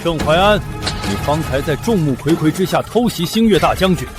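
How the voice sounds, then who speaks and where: An actor voices an imperious emperor in a costume drama. His delivery is stern and ceremonial with animated pitch movement, over a clear music bed. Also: fairly quick, natural voice. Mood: stern, rebuking. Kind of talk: period short-drama acting.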